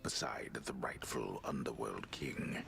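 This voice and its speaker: An actor voicing an ominous old storyteller who narrates a video game in a hushed, portentous way. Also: male voice, very deep voice, measured pace, creaky, in a whisper, faint music behind, heavily acted.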